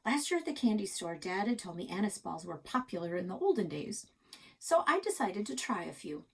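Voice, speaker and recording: A woman narrating a children's chapter book aloud as a library storyteller, in an expressive style. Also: medium pitch, a little fast, creaky, slightly rough.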